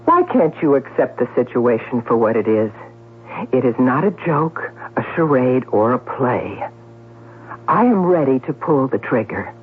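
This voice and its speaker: A tinny, hissy radio drama recording. a sardonic, tipsy woman with menace in every phrase. Her delivery is deliberate and mocking, swinging widely in pitch. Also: very animated, full character voice. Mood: mocking, menacing. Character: cool, sardonic, tipsy woman threatening murder at gunpoint. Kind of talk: 1970s radio drama acting. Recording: tinny, noisy old radio broadcast.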